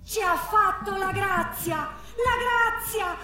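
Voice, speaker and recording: A woman's voice: very high voice, slow pace, heavily acted. A woman performing as an exalted, grateful devotee in a half-sung theatrical chant. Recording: echoey stage with loud live music. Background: loud live instrumental music.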